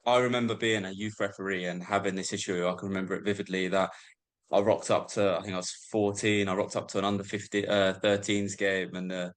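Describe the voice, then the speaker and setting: A man's voice: low-pitched, flat delivery, a little fast. A British man hosting a podcast, asking questions and telling casual stories. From United Kingdom. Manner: engaged interviewer, casual storytelling. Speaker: podcast host. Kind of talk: podcast conversation.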